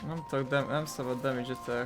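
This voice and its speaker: A man's voice: mid-range voice, steady tone, quick pace. A young man from Hungary giving casual, blunt, grumbling commentary as he plays a video game. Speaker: gaming video host. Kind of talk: casual, sweary let's-play commentary. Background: video game music.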